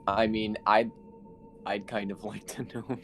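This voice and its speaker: A man's voice: fairly low pitch, little pitch movement, measured pace, a bit bright, faint music behind. A man chatting casually as a player in a tabletop roleplaying game.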